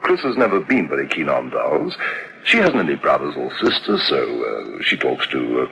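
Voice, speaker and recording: An actor playing a relaxed, easygoing husband who chuckles and talks his wife out of her worries. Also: rumbling low, moderate pace, creaky, highs cut off, slight background noise, heavily acted. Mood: reassuring. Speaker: husband and father.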